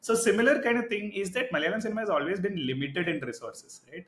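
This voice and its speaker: A man co-hosting a podcast, talking in a casual, animated, argumentative way and often tagging his points with "right?". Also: sits high, fast. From India. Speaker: podcast co-host. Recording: echoey room.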